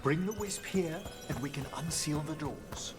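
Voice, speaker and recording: An actor voicing a courteous, scholarly gentleman necromancer in a fantasy video game, speaking in a measured, erudite way. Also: on the high side, animated. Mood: courteous, instructive. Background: loud game music.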